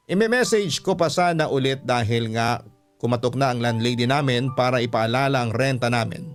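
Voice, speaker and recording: A man with a Filipino accent reading a scripted first-person story as a radio-style storyteller. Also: mid-range voice.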